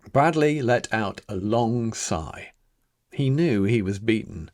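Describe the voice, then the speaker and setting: A man reading a children's picture book aloud as its author, in a dramatic, deliberate storytelling manner with emphatic pauses. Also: medium pitch, no room sound, clean studio sound. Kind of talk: expressive children's story narration.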